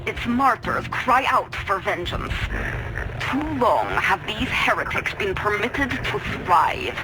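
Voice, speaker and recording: A woman's voice: medium pitch, poor audio. A woman playing a proud, zealous military commander in a video game, formal, solemn and declamatory. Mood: zealous resolve. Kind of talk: scripted video game cutscene acting. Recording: music behind the voice. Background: music.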